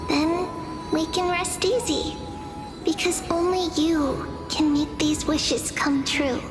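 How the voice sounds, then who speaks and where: A woman's voice: high-pitched, steady tone, slow pace. A woman voicing a sweet, playful little girl mentor in a fantasy game, tender and wistful. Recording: long hall reverb under music.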